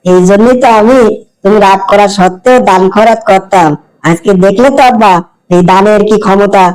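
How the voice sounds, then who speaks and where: A man plays a kind-hearted young son in a village cartoon. The voice is distinctly high for a man, earnest and reassuring, with a rural Bangladeshi accent and simple, devout phrasing. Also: fairly even intonation, brisk, a little mellow, natural voice, rough recording. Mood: hopeful. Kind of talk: amateur cartoon voice acting.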